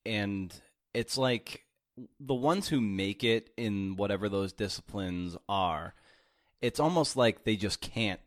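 Slow, casual man hosting a podcast. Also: lowish voice, dry recording, studio quality. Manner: casual, searching for words as he reflects back. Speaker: podcast host. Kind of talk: conversational interview.

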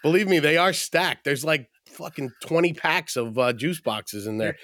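A man hosting a casual podcast, joking with his co-host and introducing the episode while still sick, his voice a little rough. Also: a little high, animated, normal speed, fairly bright tone. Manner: laid-back, joking, swears casually. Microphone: remote call, mutes own mic.

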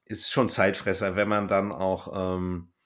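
A man's voice: low-pitched, little pitch movement, a little slow, a bit bright. A man chatting casually as a podcast co-host, in a hesitant, rambling way that often trails off mid-thought. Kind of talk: casual podcast chat reviewing an audio drama.

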